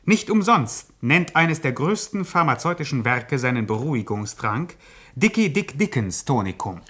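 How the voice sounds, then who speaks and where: Florid, wry radio narrator. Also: male voice, mid-range voice, sing-song, normal speed, fairly bright tone, breathy edge. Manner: mock-serious, florid. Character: genial, wry, bombastic co-narrator addressing listeners. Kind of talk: scripted radio play narration.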